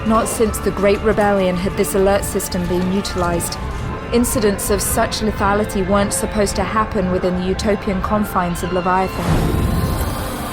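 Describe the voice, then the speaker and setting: A woman performing as the solemn, measured narrator of a dramatic sci-fi audio drama. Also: medium pitch, close to an everyday voice. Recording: loud music and effects behind narration.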